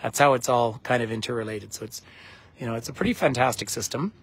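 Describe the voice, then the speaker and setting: Croaky man with a cold, rambling. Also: medium pitch, fast. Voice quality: husky, croaky. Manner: rambling, chatty, greets viewers by name. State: has a cold. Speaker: tour guide. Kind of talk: live-streamed casual commentary to viewers. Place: outdoors at a geyser basin.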